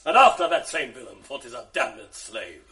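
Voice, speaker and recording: A grave, commanding nobleman in a radio play. His voice moves lively up and down as he issues orders. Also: mid-range voice, normal speed, gravelly, soft background music, heavily acted. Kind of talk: 1980s radio drama acting.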